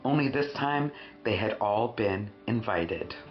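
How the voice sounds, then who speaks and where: A man reading a children's storybook expressively, with dramatic emphasis and drawn-out words. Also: on the low side, animated. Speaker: storyteller, host of a read-aloud show. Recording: roomy, muffled sound with faint music.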